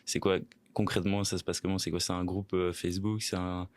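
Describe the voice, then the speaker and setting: A man hosts a podcast in a very low, deep voice that sounds dry and close to the mic. He stumbles through his questions with lots of fillers and restarts, breaking in often with short friendly comments. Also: little pitch movement, fairly quick, dry recording. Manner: hesitant, many fillers and restarts, friendly interjections. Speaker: podcast host. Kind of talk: casual interview chat.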